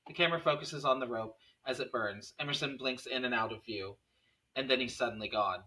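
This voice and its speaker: A man's voice: medium pitch, fairly quick, sharp tone, poor audio. A man reading the stage directions of a horror screenplay as scripted narration.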